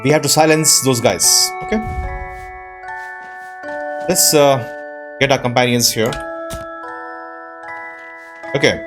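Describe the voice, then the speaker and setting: A man's voice: mid-range voice, takes it slowly, long pauses. A man hosting a casual let's play, chatty and thinking aloud as he goes. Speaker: gaming video host. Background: soft mallet game music.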